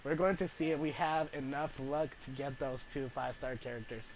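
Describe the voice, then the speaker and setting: A man giving casual live commentary as a game streamer, chatty and a little halting. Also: medium pitch, steady tone. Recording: faint background noise.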